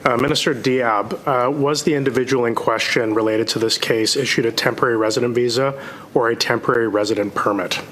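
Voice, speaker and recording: A man's voice: medium pitch, steady tone, a bit bright, a little hiss or hum, poor audio. A man pressing a minister with repeated questions in parliament. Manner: pressing, rapid interrogation. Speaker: opposition politician.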